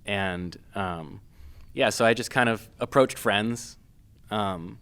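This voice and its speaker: A man from Massachusetts, a filmmaker guest, talking casually with many pauses, fillers and restarts. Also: on the low side, a bit bright, clear, resonant tone. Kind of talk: casual interview.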